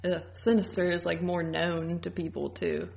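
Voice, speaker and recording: A woman's voice: on the low side, little pitch movement, normal speed, gravelly, darkish tone. A woman co-hosting a podcast, chatting casually in an informal way peppered with "like". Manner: informal, hedging with frequent "like". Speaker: podcast co-host. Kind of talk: casual chat.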